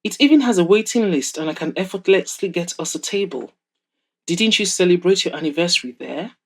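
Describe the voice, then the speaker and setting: A woman's voice: fairly low pitch, lively intonation, fairly quick, breathy edge. A woman acts out a confident, wealthy young man in an audio drama. He is a little cocky and indignant, firing off incredulous questions. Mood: puzzled, put out.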